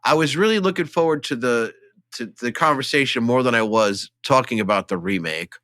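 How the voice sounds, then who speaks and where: A man's voice: medium pitch, fairly quick, a bit bright, no room sound, clean studio sound. A man co-hosting a casual film podcast, talking enthusiastically and emphatically in a conversational way. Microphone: studio microphone, close.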